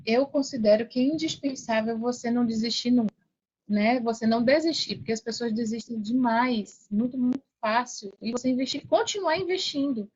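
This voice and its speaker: A middle-aged Brazilian woman with a fairly high voice, recorded a little dully. She talks casually as a podcast guest, stresses her points by repeating them and checks in with short tag questions. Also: fairly quick, darkish tone, husky, dull audio. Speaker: podcast guest, online affiliate mentor. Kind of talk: casual interview talk.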